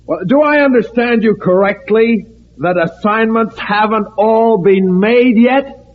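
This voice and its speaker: A man's voice: high voice, measured pace. A man acting as a hesitant, questioning volunteer in a 1950s radio drama. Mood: hesitant, probing. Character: hesitant questioning male civil defense volunteer. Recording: tinny echoing noisy old radio recording.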